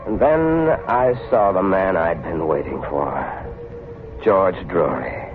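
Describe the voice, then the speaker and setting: Desperate fugitive killer, low and intense. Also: male voice, lively intonation, slow, music in the background. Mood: tense narrating. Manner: intense, agitated, melodramatic. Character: desperate, paranoid fugitive killer recounting his crimes. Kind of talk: 1940s radio suspense acting, first-person narration. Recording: noisy, tinny old radio drama recording.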